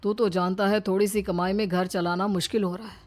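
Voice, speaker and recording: A woman's voice: medium pitch, steady tone, fairly quick, a little mellow, natural voice. A woman voicing a young husband in a cartoon family story, easily swayed, grudging and casual. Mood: worried.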